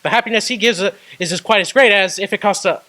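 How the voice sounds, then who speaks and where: An actor playing an old miser who is by turns remorseful and delighted, halting and wavering in radio drama acting. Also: male voice, sits high, pushed and bright. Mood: earnest, hesitant. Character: old miser man, remorseful, reliving his youth.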